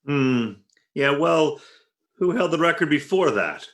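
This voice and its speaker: A man's voice: on the high side, lively intonation, unhurried, a bit bright. A man playing a jealous, dry, exasperated and boastful husband in a 1940s-style radio comedy, in an echoey room.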